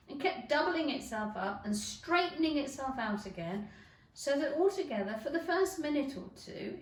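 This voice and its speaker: A woman giving an animated, emphatic narration of a children's storybook, like a teacher. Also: high-pitched. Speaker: schoolteacher reading a story. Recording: echoey room, distant microphone.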